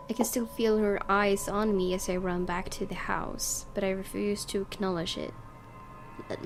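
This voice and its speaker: A woman gaming YouTuber doing a let's play, reading story text aloud expressively with casual asides. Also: medium pitch. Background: game music.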